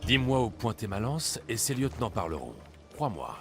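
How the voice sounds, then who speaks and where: A man's voice: fairly low pitch, very animated, normal speed, a bit bright, soft background music. A man acting a bold, blunt ancient Greek mercenary in a dubbed video game, speaking with confidence. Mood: confident.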